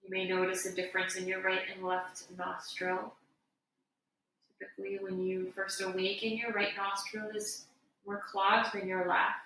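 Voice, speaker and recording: A woman giving guided meditation instruction as a yoga teacher, in a gentle, unhurried, soothing way. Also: medium pitch, monotone. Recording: echoey room, distant mic, faint noise.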